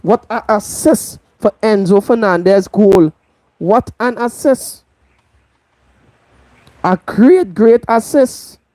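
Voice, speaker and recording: Slow, emphatic Trinidadian football host. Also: female voice, medium pitch, mellow, no room sound, rough recording. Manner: emphatic, repeats points for stress. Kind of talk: live football match review.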